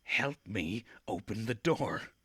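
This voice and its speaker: A man's voice: on the low side, lively intonation, slow pace, fairly bright tone, husky, no echo, voice pushed up, exaggerated voice. A man voicing a blunt, sarcastic teenage girl troublemaker in a visual novel. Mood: bossy, sarcastic.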